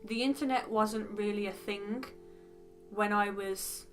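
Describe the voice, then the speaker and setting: A woman's voice: medium pitch, steady tone, a little slow, faint music behind. A young woman from the English Midlands with a West Midlands accent, chatting frankly and rambling with asides in a casual get-to-know-me vlog. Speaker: beauty vlogger.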